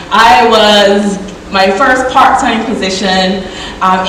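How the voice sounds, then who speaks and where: A young woman with a Barbadian accent talks to a conference audience in a lively, chatty way. Her voice sits in the middle of the usual range. She is heard from a distance in a large, echoing hall with a long reverb and a faint hiss behind her. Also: mid-range voice. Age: 20s. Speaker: conference speaker. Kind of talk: conference keynote talk. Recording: echoey hall from far off.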